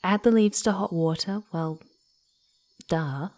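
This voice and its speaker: A woman voicing a playful, sweet, eager young female fox spirit in a soft ASMR roleplay, cooing and giggly, often slipping into breathy whispers. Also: lowish voice, slow pace. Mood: curious, gentle. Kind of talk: ASMR roleplay, speaking softly to the listener.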